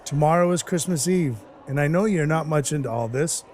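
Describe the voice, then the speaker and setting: A man playing a gruff, soft-hearted dwarf who works as Santa's helper, narrating his own story in an audio play in a wry, hard-boiled way. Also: on the high side, a little fast, slight background noise, natural voice. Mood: steady, resolved. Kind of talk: first-person audio play narration and dialogue. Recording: music under the voice. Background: music.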